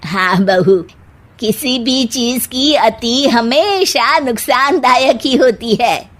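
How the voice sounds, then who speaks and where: A woman voicing a sarcastic, scolding middle-aged village mother-in-law in a cartoon comedy, in a mocking, drawn-out manner. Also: on the high side, lively intonation, fairly bright tone, heavily acted. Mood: indulgent. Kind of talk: Hindi cartoon comedy dubbing.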